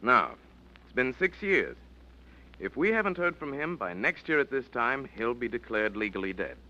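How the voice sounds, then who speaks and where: A man's voice: medium pitch, sing-song, normal speed. An actor playing a male lawyer reasoning patiently with a client, in 1940s radio style. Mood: firm. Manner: patient, reasoning, gently firm. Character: measured male family lawyer. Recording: dull old radio recording.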